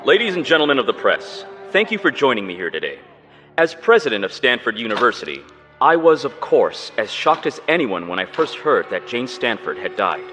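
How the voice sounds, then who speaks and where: An actor playing a pompous, defensive middle-aged university president who brushes off questions in a radio drama. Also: male voice, mid-range voice, very animated, a little fast, bright, forceful tone, in a large hall, faint music behind. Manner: blustering, dismissive.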